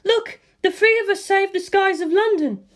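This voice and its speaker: Young heroine, high and light, cheerful, admiring, voiced by the story's reader. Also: moderate pace. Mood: elated. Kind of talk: audiobook reading.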